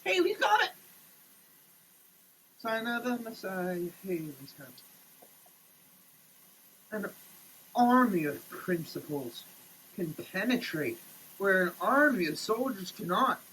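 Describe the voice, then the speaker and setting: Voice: mid-range voice, very animated, slow pace, long pauses, fairly bright tone, slight background noise, poor audio. A person giving a theatrical, declamatory dramatic reading of printed text. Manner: theatrical, declamatory, with muttered asides. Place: shower.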